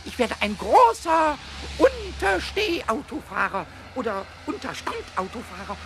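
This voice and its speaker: An actor playing a small, cheeky male kobold who stammers excitedly and wails when upset, in a children's radio play. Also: a little slow, gravelly, a little hiss or hum, full character voice. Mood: busy, scheming. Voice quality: high squeaky childlike voice.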